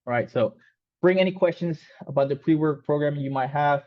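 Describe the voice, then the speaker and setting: A man's voice: on the high side, a little fast. Spanish-native man teaching a coding class. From Mexico. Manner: loose and casual, many fillers and restarts. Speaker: coding instructor. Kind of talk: online class talk. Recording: slightly dull, lo-fi sound.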